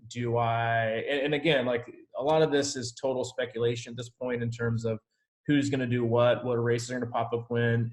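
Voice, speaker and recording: A man working as a triathlon coach, informally and reassuringly answering team questions on a video call. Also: medium pitch.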